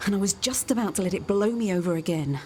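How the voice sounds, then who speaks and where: A woman's voice: medium pitch, fast talker, a little mellow, natural voice. Woman acting an earnest, emotional daughter, some noise. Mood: troubled, confiding. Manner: confiding, emotional. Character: earnest, anxious daughter breaking free of her mother. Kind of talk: radio soap acting. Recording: noticeable background noise.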